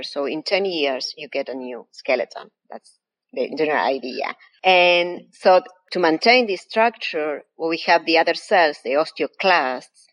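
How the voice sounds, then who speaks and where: A woman's voice: low-pitched, low-quality recording. A woman from Argentina with an Argentine Spanish accent, a professor explaining her research patiently and methodically as an interview guest.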